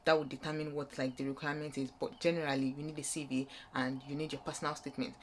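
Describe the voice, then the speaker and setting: A woman's voice: deep voice, level tone, fast talker, a little hiss or hum. A young woman with a Nigerian accent gives an informal, unscripted explainer as a vlogger, rambling and rephrasing her points as she goes. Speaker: vlogger giving student application advice.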